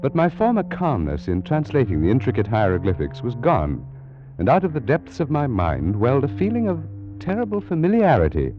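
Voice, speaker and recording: An actor playing a scholarly British Egyptologist, formally recounting an eerie adventure in a 1940s radio drama. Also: male voice, medium pitch, wildly swooping pitch, fairly quick, faint music behind. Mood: uneasy, suspenseful. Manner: formal, precise, dramatic storytelling. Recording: muffled, noisy vintage radio recording.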